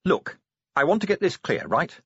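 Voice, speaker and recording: A man's voice: fairly high pitch, very animated, normal speed, husky, dry recording, muffled recording, pitched above the performer's own voice, heavily acted. A man voicing a prickly, defensive female werewolf police officer in an audiobook story. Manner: snappish, defensive.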